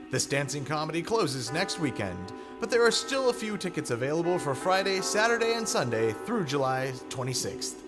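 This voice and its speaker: Male announcer, lively rising and falling pitch, theatrical, formal, old-time radio credits, loud music behind. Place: theater stage, live recording. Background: loud live music.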